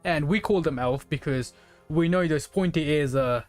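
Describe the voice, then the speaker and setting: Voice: medium pitch, moderate pace. A game streamer chatting casually with viewers, rambling and thinking aloud in a conversational way. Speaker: live streamer playing a video game. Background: game music.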